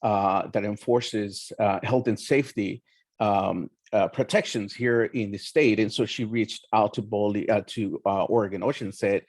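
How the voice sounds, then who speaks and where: A young man from Oaxaca, Mexico, whose first language is Mixtec, giving an earnest, measured talk on a roundtable panel with frequent pauses. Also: lowish voice, steady tone, darkish tone, no echo. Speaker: community educator and roundtable panelist. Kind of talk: roundtable presentation.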